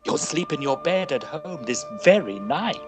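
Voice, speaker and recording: An actor playing a scheming, villainous suitor in old-time radio melodrama, with florid, formal and insistent pleading. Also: male voice, on the high side, very animated, moderate pace, music in the background. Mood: coldly persuasive.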